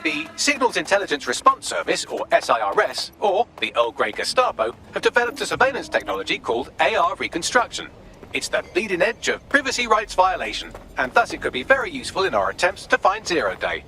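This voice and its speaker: A man's voice: high voice, wildly swooping pitch, brisk, creaky, bright, forceful tone, slight background noise, full character voice. A man voicing a sarcastic, cheeky British AI assistant in a video game, wordy and dryly mocking. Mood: wry, teasing. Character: sarcastic, cheeky male artificial intelligence assistant.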